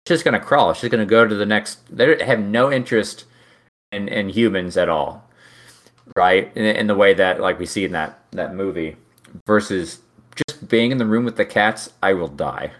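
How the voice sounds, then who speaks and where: A man's voice: fairly low pitch, moderate pace, fairly bright tone. A man in his thirties chatting casually as a co-host, rambling and restarting his sentences with a joking tone. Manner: rambling, self-interrupting, joking. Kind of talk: casual chat.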